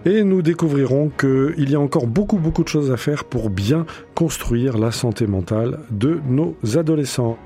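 A man's voice: medium pitch, normal speed, dark tone. A man reading a scripted trailer for an upcoming radio program. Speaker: program announcer. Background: music.

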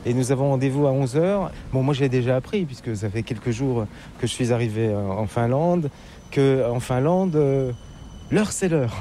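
A man's voice: mid-range voice, moderate pace, warm tone. A man with an accent from France, working as a reporter in a conversational travel interview out on city streets. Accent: French from France. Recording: clear outdoor city traffic noise. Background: street ambience, passing vehicles.

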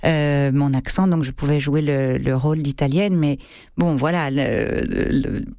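A woman's voice: low-pitched, fairly even intonation, unhurried, warm tone. A middle-aged woman from France, an actress, speaks in a radio interview. She searches for her words, filling gaps with hesitations and repeated starts. The studio sound is dry and close, with no room echo. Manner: hesitant, many fillers and restarts. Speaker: actress and theatre co-director.

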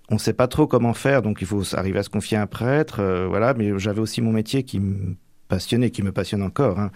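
A middle-aged man from Normandy giving reflective, halting interview answers, often tagging the ends of his phrases. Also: on the low side, darkish tone, no room sound. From Manche, Normandy, France. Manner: hesitant, halting, frequent tags at phrase ends.

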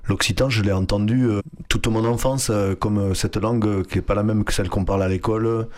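A man's voice: on the low side, fairly even intonation, fast talker, darkish tone. A young man who grew up with Flemish as his first language, answering interview questions with many fillers and restarts. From France (Hérault, Occitanie). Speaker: artistic director, guest. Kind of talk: radio interview.

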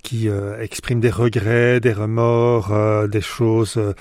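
A man's voice: lowish voice, level tone, takes it slowly, a little mellow, dry recording, studio quality. A man from Belgium, an author answering radio interview questions, hesitant with many fillers and restarts.

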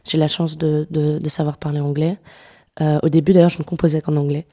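A young woman with a low, mellow voice talks as an interview guest. She speaks softly and hesitantly, often restarting her sentences and filling pauses. Her tone stays warm and unhurried. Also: level tone. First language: French. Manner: soft-spoken, hesitant, many fillers and restarts. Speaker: singer-songwriter guest. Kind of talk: radio interview conversation.

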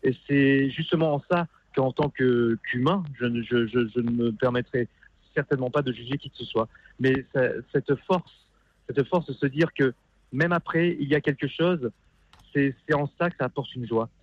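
A man calling a radio show by phone, giving earnest personal testimony and haltingly repeating words as he searches. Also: mid-range voice, dry recording. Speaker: phone-in caller, funeral worker. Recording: dull band-limited phone call.

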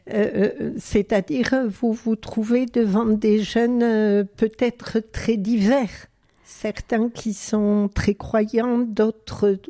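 A woman interviewer asking questions in a halting way, searching for her words. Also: a little high, dark tone.

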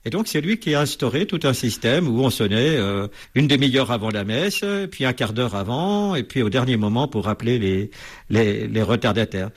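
Husky-voiced man explaining bells. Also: medium pitch, animated, normal speed. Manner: hesitant, many fillers and restarts. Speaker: bell expert guest. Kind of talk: radio interview, explanatory.

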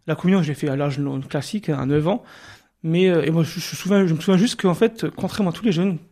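A man in his thirties from Moselle, a radio guest telling his life story with many pauses and restarts. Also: a little high, warm tone. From Moselle, France. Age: 30s. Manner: hesitant, many fillers and restarts.

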